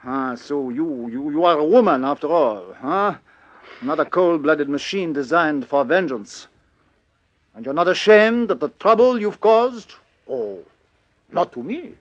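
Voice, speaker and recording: An actor playing a fussy, shrewd retired detective with a French-speaking Belgian accent and an emphatic, theatrical delivery. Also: male voice, on the high side, animated, unhurried. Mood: gently mocking. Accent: French-speaking Belgian, put on. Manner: theatrical, emphatic, repeats words. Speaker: radio actor. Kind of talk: mid-century radio drama acting. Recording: dull vintage radio recording.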